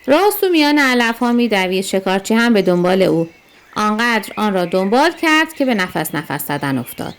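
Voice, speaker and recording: A woman storyteller with a fairly high voice narrates for children. Her delivery is scripted and expressive, each sentence set out clearly.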